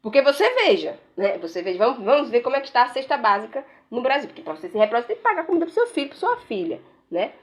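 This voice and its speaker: A woman's voice: a little high, very animated, rapid-fire, hardly any pauses. A woman giving casual political commentary while browsing pages on her screen, sarcastic and rambling, tagging sentences with questions. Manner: frequent "né?" tags, rambling, sarcastic.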